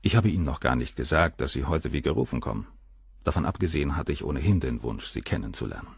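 An actor playing a cold, condescending, articulate professor with a husky voice in a radio crime drama. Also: male voice, a bass voice, sing-song, fast, dry recording, clean studio sound, heavily acted. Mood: coolly matter-of-fact. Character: cold, haughty, gaunt male professor, a famous doctor.

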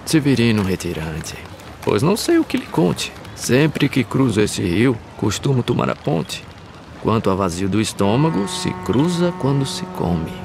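A Brazilian actor playing a stout, patient older village carpenter who gives counsel in declaimed verse for an animated drama. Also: male voice, medium pitch, measured pace, vocal fry, exaggerated voice. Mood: plain, wry. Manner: declamatory, counselling, swooping emphasis. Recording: outdoor ambience noise behind the voice.

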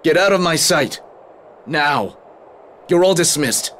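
An actor playing a stern, proud young army captain in a video game, with a commanding, formal delivery. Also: male voice, a little high, animated, takes it slowly, a bit bright, a little hiss or hum. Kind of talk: dramatic video game acting.

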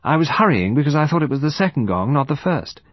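A defensive young upper-class woman, put on by a male audiobook reader, pitched up and husky. She talks in a clipped, brisk, matter-of-fact way with lively swings of pitch. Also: no echo, muffled recording. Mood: explaining, defensive. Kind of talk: audiobook character voice.

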